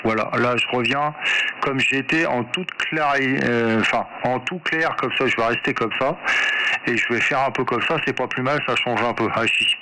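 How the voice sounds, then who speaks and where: A man's voice: mid-range voice, normal speed. A man talking casually as an amateur radio operator in a hesitant, rambling, colloquial way, heard through a two-way radio. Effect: two-way radio transmission. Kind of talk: casual radio contact chat. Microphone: radio microphone. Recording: noisy radio transmission with clicks.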